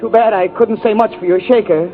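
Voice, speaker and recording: A man's voice: high-pitched, steady tone, fairly quick. A man doing a hammy, mock-romantic comedy monologue on a 1940s radio show. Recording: tinny 1940s radio broadcast with band and audience. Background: orchestra music and studio audience.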